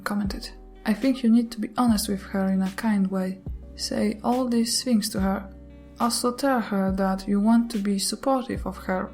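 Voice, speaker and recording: A woman whose first language is not English, reading online posts and comments aloud in a steady way, with brief chatty asides. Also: mid-range voice. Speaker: YouTuber reading Reddit posts.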